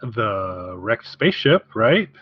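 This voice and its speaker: A woman's voice: a bass voice, sing-song, takes it slowly, breathy edge, no echo, dull audio. A woman co-hosting a podcast in casual conversation. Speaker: podcast co-host. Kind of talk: casual chat.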